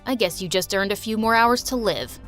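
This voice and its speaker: A woman's voice: mid-range voice, expressive, a little fast, a bit bright, soft background music, natural voice. A woman voicing a smug, rich teenage boy bully with a sneering, mocking manner.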